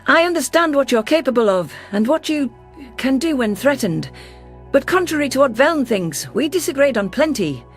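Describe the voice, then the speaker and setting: A woman's voice: high voice, very animated, moderate pace, faint music behind. A woman voicing a defiant, protective female alien ambassador, telling her story in a sci-fi audio drama with earnest assertiveness. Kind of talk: science fiction audio drama, first-person narration and dialogue.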